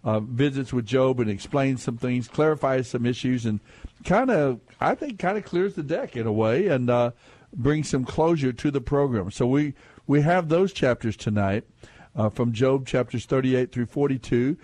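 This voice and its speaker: A man's voice: medium pitch, expressive, normal speed, a little mellow, dry recording. Male call-in radio host, folksy and rambling, dry close mic. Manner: rambling, folksy, restarts and fillers, laughs easily. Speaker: radio quiz show host. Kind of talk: casual call-in radio talk.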